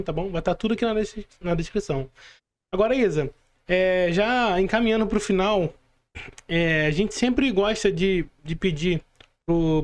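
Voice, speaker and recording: A Brazilian man with a notably high-pitched voice hosts a podcast. His delivery is informal and casual, and he rephrases and repeats for emphasis. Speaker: podcast host. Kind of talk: casual interview hosting.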